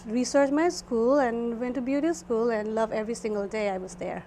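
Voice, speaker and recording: A woman's voice: fairly high pitch, fairly even intonation, normal speed, mellow, slight background noise. A woman in her twenties from Nepal with a Nepali accent, chatting as a guest esthetician and filling her talk with "like" and "you know". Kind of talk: casual interview chat.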